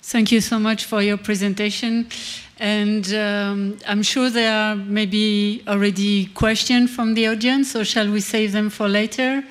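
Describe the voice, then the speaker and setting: A woman moderating a panel, thanking the speaker and tentatively introducing her own presentation. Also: medium pitch. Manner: polite, tentative, with fillers. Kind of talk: panel talk.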